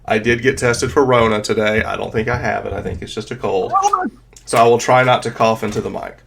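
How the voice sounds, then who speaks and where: A man's voice: fairly low pitch, steady tone, fairly quick, creaky, a bit bright, slight background noise. A man in his thirties with a cold and a congested voice, casually recapping a tabletop campaign as dungeon master over a video call, with lots of fillers and restarts. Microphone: desk mic on a video call.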